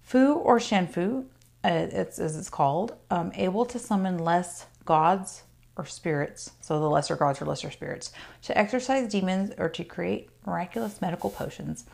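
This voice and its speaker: A woman's voice: lowish voice, moderate pace, creaky, darkish tone. A woman hosting her own podcast, talking casually and chattily about folklore with fillers and asides. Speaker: podcast host. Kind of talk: casual solo podcast talk.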